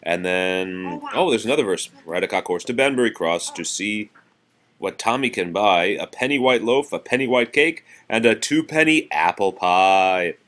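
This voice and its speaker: A man reading nursery rhymes aloud to a young child in an animated sing-song, with patient, casual asides as a parent. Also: on the low side, moderate pace.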